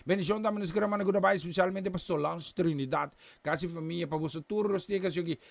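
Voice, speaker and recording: A man's voice: high-pitched, quick pace, gravelly, no room sound. A man hosting a gospel program, chatting casually, sending blessings to listeners by name, often stumbling and repeating words as he switches languages. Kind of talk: casual live-stream chat with greetings.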